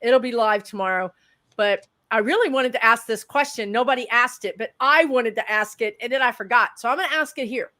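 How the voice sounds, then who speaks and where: A woman, a psychic medium chatting casually as a livestream guest, rambling in a loud voice. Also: a little high, a little fast.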